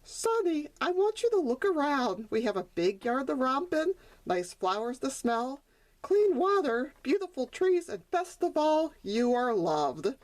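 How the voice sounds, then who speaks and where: A woman's voice: high-pitched, very animated, unhurried, darkish tone, pitched above the performer's own voice. A woman voicing a caring mother donkey in a storybook, coaxing and reassuring her child.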